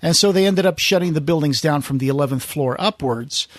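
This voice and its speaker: A man's voice: on the high side, fairly quick, no room sound, clean studio sound. A man hosting a podcast, casually recounting film history in a conversational storytelling way and quoting people as he goes. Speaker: podcast host.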